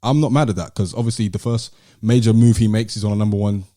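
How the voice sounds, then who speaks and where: A man's voice: on the low side, fairly even intonation, quick pace, dark tone, no room sound, clean studio sound. A man co-hosting a podcast, discussing things in a casual, conversational way. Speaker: podcast co-host.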